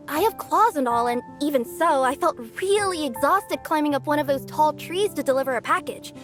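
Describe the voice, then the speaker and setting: An actress voicing a cheerful young female cat-yokai courier for a video game, bubbly and bashful, quick to fluster. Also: high-pitched. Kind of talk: video game character voice lines.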